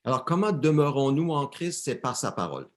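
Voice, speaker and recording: A man's voice: mid-range voice, sing-song, brisk. A man interpreting a sermon live for a church, often repeating and correcting words as he translates.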